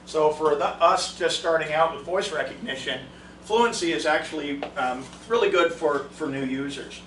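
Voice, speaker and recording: A man's voice: fairly high pitch, moderate pace, a bit bright, slight background noise. A man giving a casual, chatty training talk to a group, restarting his sentences often, recorded from a distance in a meeting room. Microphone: room mic, far from the speaker. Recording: echoey room recorded from far off.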